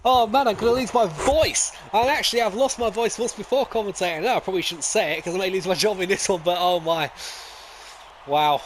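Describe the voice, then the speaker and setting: A man giving live play-by-play commentary on a sim racing league. He gets excited, jumps up at crashes and overtakes, and wanders into rambling asides and self-corrections. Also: high voice, fairly quick, slight background noise.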